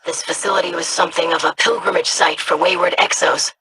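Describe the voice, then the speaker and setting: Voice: mid-range voice, fairly even intonation, brisk, noisy background, lightly acted. A performer voicing an earnest scholarly scribe. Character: earnest, studious scribe, curious and careful. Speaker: scribe investigating monsters. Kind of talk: video game voice lines.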